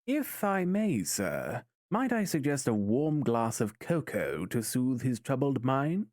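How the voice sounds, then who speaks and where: An actor playing a courteous, devoted butler in an audio drama, with a formal, deferential and theatrically proper manner. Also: male voice, medium pitch, sing-song, slow, darkish tone, no echo, clean studio sound. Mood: courteous, attentive.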